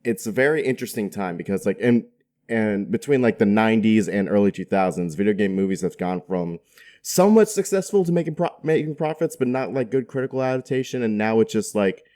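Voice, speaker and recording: Man, deep low pitch, podcast guest, chatty, informal, slangy casual talk. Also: warm tone. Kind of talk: casual chat.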